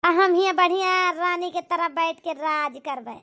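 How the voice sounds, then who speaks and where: A woman voicing a doting, gentle village mother in a homemade cartoon, with an Awadhi accent and a pitched-up, coaxing delivery. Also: normal speed, full character voice. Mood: hopeful, doting. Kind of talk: homemade cartoon comedy-drama.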